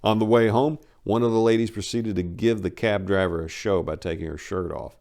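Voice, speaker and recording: A man's voice: lowish voice, fairly even intonation, a little fast, a little mellow. A man narrating a first-person memoir as a dramatic reading, in a brash, wry, colloquial storytelling manner.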